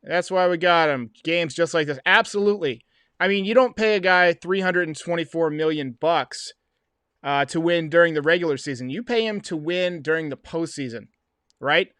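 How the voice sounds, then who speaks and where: A man in his thirties hosts a casual sports livestream in a high-pitched voice that is a little hoarse. He talks emphatically, like an excited fan, repeating phrases for effect. The sound is close and dry, from a desk microphone. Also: normal speed, dry recording. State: slightly hoarse. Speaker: host of a baseball livestream show. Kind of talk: casual livestream postgame commentary. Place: small home room.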